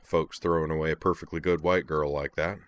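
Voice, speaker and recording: Man acting wry put-upon hero, deep voice, deadpan, muttering, fantasy audiobook. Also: brisk. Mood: mildly puzzled. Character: unflappable, sardonic man, drily put-upon.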